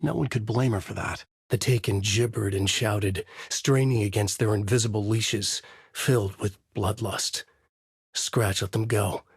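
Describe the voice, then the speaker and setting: A man playing a brooding, haunted novelist in a video game, narrating his horror story in a grave, measured, dramatic way with a husky voice. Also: on the low side, fairly even intonation, dry recording, clean studio sound, full character voice. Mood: ominous, grave. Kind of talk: scripted noir horror narration, inner monologue.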